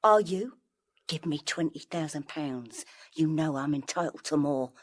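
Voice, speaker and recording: A woman's voice: low-pitched, expressive, a little slow. An actress playing a fierce, suspicious pie-shop woman and villain's accomplice in a period audio drama, clipped and imperious. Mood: cold, demanding.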